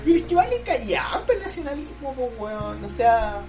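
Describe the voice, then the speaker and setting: A woman's voice: high voice, big pitch swings, moderate pace, a crowd in the background. A young woman with a Chilean accent chatting casually, blunt and crude, swearing freely. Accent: Chilean Spanish. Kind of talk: casual chat. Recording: music playing behind.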